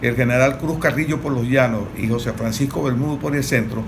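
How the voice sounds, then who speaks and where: Venezuelan guy on a political panel, average pitch, explaining like a teacher, noisy recording. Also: steady tone, a little fast.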